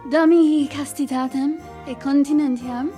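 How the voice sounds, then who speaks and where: High-voiced teasing medieval noblewoman played by an actress. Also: fairly even intonation, normal speed, music in the background, close to an everyday voice. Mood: playful, teasing. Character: playful, teasing young noblewoman quoting Latin. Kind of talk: video game cutscene acting.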